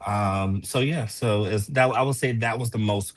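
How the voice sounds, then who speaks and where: A man speaking casually as a playwright on a panel, in short, halting phrases. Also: fairly low pitch. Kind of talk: casual interview talk.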